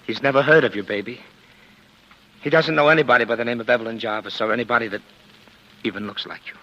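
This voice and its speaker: An actor playing a guilt-ridden, infatuated killer in 1940s radio melodrama, pleading urgently and repeating endearments. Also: male voice, mid-range voice, quick pace, fairly bright tone, close to an everyday voice. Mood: urgent, lovesick. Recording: dull, noisy 1940s radio recording.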